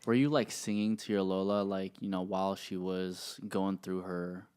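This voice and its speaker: Brief: relaxed male host, deep voice, easygoing interview banter. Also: moderate pace, clean tone. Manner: laid-back, affirming, swears casually. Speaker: podcast host. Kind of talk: casual interview chat.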